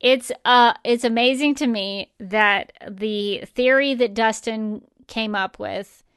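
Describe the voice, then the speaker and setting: Clear, smooth-voiced woman co-hosting a podcast. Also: on the high side, slow, a bit bright, no echo, clean studio sound. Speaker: podcast co-host. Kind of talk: casual fan podcast chat.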